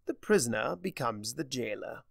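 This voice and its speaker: Trickster spirit, played by a male narrator: theatrical, smug, grandly explanatory, highly animated pitch, touch of gravel. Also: moderate pace, no room sound, studio quality. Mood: mocking, lecturing. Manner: drawling, mocking, grandly explanatory.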